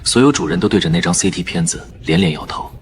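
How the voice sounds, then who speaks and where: A man narrating in the first person as a calm surgeon, in measured scripted narration. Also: low-pitched, slight background noise, close to an everyday voice. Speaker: narrator and lead character, a surgeon.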